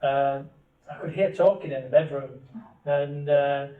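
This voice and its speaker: A man's voice: fairly high pitch, measured pace. A man co-hosting a family podcast, asking long questions that restart as he goes. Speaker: podcast co-host, father. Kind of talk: casual family podcast chat. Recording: dull, echoey, distant mic.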